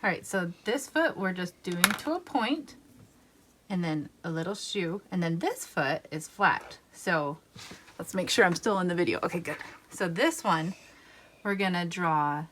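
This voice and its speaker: A woman in her thirties talks through a drawing lesson one step at a time, patient and easygoing. Her pitch moves up and down a lot as she explains. A faint hum of room noise sits behind her. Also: expressive, unhurried, a bit bright. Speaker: art teacher. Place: home art studio.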